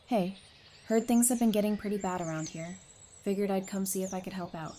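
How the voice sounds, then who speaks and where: A woman's voice: medium pitch, fairly even intonation, brisk, mellow, a little hiss or hum, lightly acted. A woman playing a caring, stubborn and playful survivor in an audio drama. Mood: concerned. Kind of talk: fan audio drama acting.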